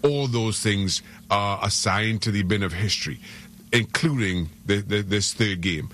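A man with a fairly low voice gives soccer analysis on a radio show. He is middle-aged and from Trinidad and Tobago. He speaks earnestly and stumbles over repeated words. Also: measured pace, fairly bright tone, slight background noise. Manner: earnest, frequent stammered repeats.

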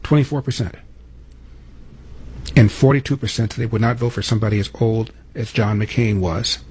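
A man's voice: fairly low pitch, normal speed, darkish tone, husky. An American man hosting political commentary, delivering an opinionated monologue with emphatic rhetorical questions.

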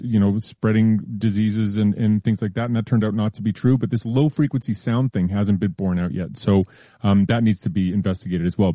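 A man explaining science news in a relaxed, careful way during a casual chat, with frequent hedges and restarts. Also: lowish voice, dry recording, clean studio sound. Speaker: science columnist.

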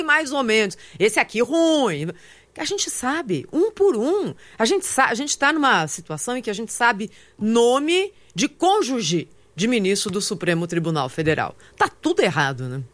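Lively Brazilian woman news commentator. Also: on the high side, normal speed, no room sound. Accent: Brazilian Portuguese. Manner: emphatic, lively, laughs often. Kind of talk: opinion commentary.

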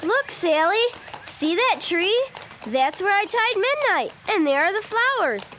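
Woman playing an earnest little girl, very high, bright. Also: sing-song, unhurried, a little hiss or hum, heavily acted. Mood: earnest, gentle. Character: earnest, devout young girl, eager and kind.

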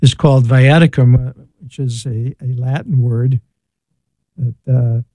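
An older man, a priest, explaining faith thoughtfully on a talk show, halting often with fillers. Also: mid-range voice, steady tone, mellow, no echo, poor audio. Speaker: guest priest.

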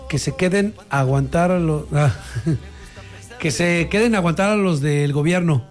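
Higher-pitched Mexican man chatting on radio. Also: measured pace, faint music behind. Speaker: radio talk show host. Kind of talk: casual news chat with co-hosts.

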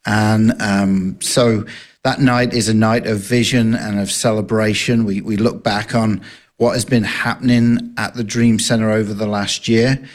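A man's voice: on the low side, little pitch movement, a little slow. A man with a noticeable non-local accent, speaking as a ministry director guest in an earnest, casual radio interview. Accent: accent not native to the area. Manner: earnest, with frequent fillers and restarts.

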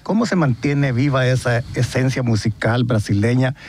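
A man's voice: mid-range voice, moderate pace, a little mellow, a little hiss or hum. A man co-hosting a radio interview, asking questions with many hesitant fillers. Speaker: radio co-host.

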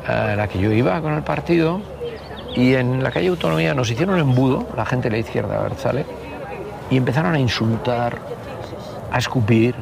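Gravelly Basque man in his sixties, outdoors. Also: mid-range voice, animated, fairly quick, crowd noise. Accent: Basque Country Spanish. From Basque Country, Spain. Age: 60s. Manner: rambling, colloquial with frequent tags. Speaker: interview guest, party member. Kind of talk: casual reminiscing, telling anecdotes.

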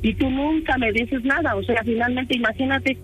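A woman's voice: high voice, normal speed, fairly bright tone, faint music behind. A woman with a Mexican accent questioning someone in a scolding, insistent way, repeating her questions. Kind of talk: confrontational phone questioning.

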